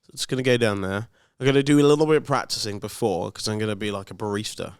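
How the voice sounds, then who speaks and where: A man's voice: fairly low pitch, steady tone, quick pace, clear, resonant tone, dry recording. A man, co-hosting a podcast in casual, teasing banter. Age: 40s. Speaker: podcast co-host. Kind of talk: casual chat. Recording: dry close studio sound.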